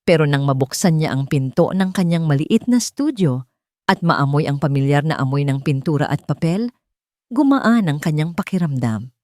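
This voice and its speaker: A woman's voice: low voice, animated, moderate pace, darkish tone, dry recording, clean studio sound. A woman reading a scripted, dramatic love story as its narrator. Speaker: narrator of romance stories.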